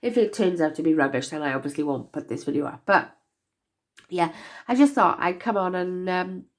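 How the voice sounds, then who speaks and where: A woman's voice: fairly low pitch, animated, moderate pace. A young woman with a stuffy nasal voice from a cold, chatting casually and rambling with lots of fillers as she works through a craft project. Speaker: crafter demonstrating box making. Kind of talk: casual craft-along chat.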